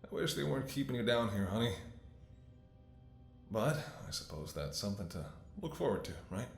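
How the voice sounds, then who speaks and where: Guy playing a tired loving doctor in an asmr drama, lowish voice, soft soothing bedside talk, roomy echo. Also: very animated, moderate pace, vocal fry, soft background music. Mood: wistful. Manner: tender, soothing, sighing. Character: weary, devoted male doctor tending an infected lover in apocalypse. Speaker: voice actor. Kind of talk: ASMR audio roleplay, intimate acting.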